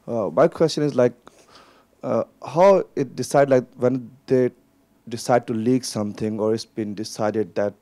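A man's voice: mid-range voice, measured pace, mellow. Rambling man from Pakistan asking a question. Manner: rambling, searching for words. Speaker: audience member asking a question.

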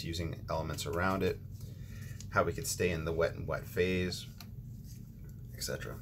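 A man's voice: low-pitched, background noise. A man hosting a watercolor painting tutorial, talking casually as he paints with frequent ums and restarts. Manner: relaxed, thinking aloud with ums and restarts.

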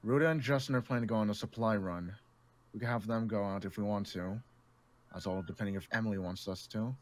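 A man playing a calming mediator among zombie survivors in improvised roleplay, speaking in a placating, measured way. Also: fairly low pitch, lightly acted. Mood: serious.

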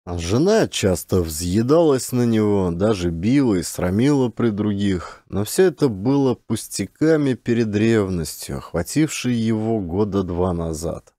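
Male audiobook narrator, dramatic literary read, soft dark tone, dry sound. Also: lowish voice, expressive, measured pace, hardly any pauses, no room sound.

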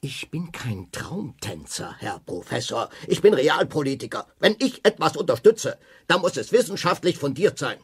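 An actor playing a stern, authoritarian government minister in a German radio drama, formal and officious. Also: male voice, fairly high pitch, very animated, normal speed, hardly any pauses, breathy edge, full character voice. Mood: cold, commanding.

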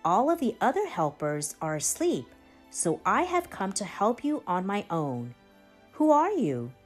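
A woman's voice: mid-range voice, measured pace, soft background music. A woman voicing an eager, earnest young male Christmas elf in a children's storybook. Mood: eager, curious. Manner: excitable, earnest.

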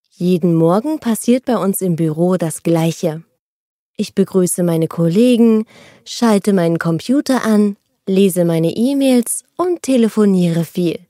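A woman reading first-person texts aloud for language learners, with a steady, neutral scripted delivery. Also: medium pitch, no room sound, studio quality. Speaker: reader of a language-learning text.

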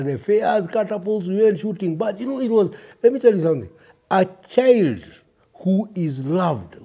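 A man in his fifties with a Kenyan accent tells his personal story in a reflective, emphatic way, speaking directly to listeners with a husky voice. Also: a little high, mellow. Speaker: interview guest. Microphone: lapel mic.

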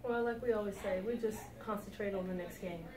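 A woman's voice: mid-range voice, brisk. Confident woman coach, distant roomy mic. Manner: direct, confident coaching talk. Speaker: head basketball coach. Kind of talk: post-game press interview. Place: small indoor office room with sofa. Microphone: far camera. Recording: roomy echo from a distant mic with crowd noise.

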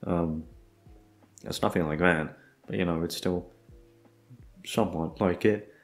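A man's voice: deep voice, fairly even intonation, unhurried, soft background music. A young man chatting casually off the cuff to listeners, rambling and hesitant, with some swearing. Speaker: audio roleplay creator. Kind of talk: casual unscripted chat.

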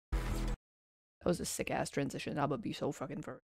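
A woman streamer giving casual, excited reaction commentary, gushing and swearing freely. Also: rumbling low, moderate pace. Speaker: vtuber streamer. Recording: music behind the voice. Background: game trailer music.

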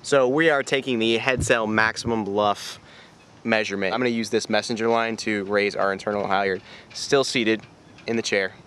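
A young man with a slightly low voice talks easily through each step of measuring his sail, recorded up close on a handheld camera. He is outdoors on a boat in a boatyard, with faint outdoor noise behind him. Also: steady tone, moderate pace, a bit bright. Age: young adult. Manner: easygoing, explaining step by step. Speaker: sailing vlog co-host. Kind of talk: casual how-to vlog.

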